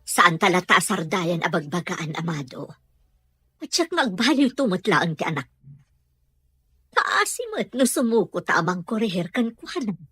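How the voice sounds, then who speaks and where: A woman's voice: fairly low pitch, sing-song, normal speed, a bit bright, slightly rough, no echo, exaggerated voice. A woman acting a worried, scolding middle-aged mother in an Ilocano radio drama. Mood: worried, pleading.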